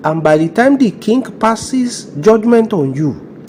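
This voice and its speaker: Male actor doing a Nigerian policeman, mellow dark timbre, zealous, scornful, self-important, comic skit. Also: high-pitched, very animated, takes it slowly, slight background noise, exaggerated voice. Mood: gloating. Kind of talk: comedy skit acting.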